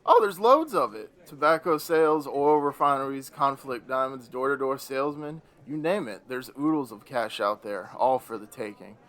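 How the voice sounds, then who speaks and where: A man's voice: medium pitch, expressive, normal speed, hardly any pauses, a bit bright, close to an everyday voice. A man playing a bitter, weary old Devil in a radio play, grumbling in a theatrical, sardonic way. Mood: boastful.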